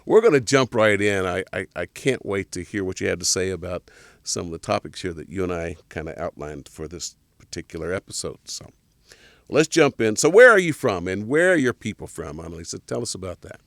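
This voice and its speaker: A man's voice: deep voice, fairly quick, vocal fry, no room sound. A man hosting a podcast interview in a casual, upbeat way, sometimes stumbling over his words. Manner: upbeat, bursts of excitement, frequent restarts. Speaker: podcast host.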